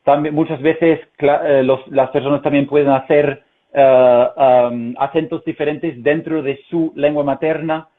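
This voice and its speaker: Guest voice: a man with a fairly high voice and a learned Castilian Spanish accent, German first language, halting with lots of "eh"s, heard over a thin, phone-like video call. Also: fairly even intonation. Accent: Castilian Spanish, learned as a second language. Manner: hesitant, many fillers and restarts. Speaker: interview guest, translator. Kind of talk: conversational interview. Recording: tinny phone-like call audio.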